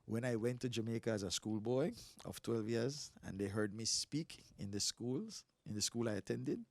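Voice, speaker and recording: A man in his sixties from Belize, a pastor telling stories in a relaxed interview, animated and quick to laugh. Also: medium pitch, no room sound. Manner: animated storyteller, laughs often. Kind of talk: casual interview talk.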